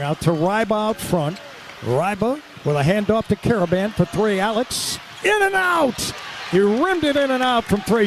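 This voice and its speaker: A man doing live radio basketball play-by-play, excitable and breaking into shouts on big plays. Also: high voice, slight background noise. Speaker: play-by-play sports commentator.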